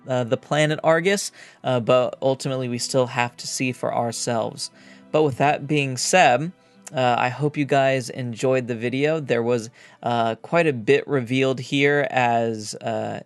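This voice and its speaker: A man's voice: medium pitch, clean tone. Man hosting casual game commentary over music. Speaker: gaming YouTuber, host.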